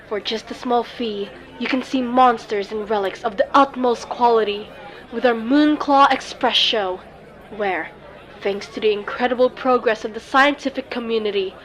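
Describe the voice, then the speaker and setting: Female showwoman, acted for fantasy audio drama: theatrical tour guide, grand salesmanlike delivery, dramatic pauses. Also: fairly high pitch, a bit bright, low-quality recording. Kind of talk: scripted fantasy audio roleplay.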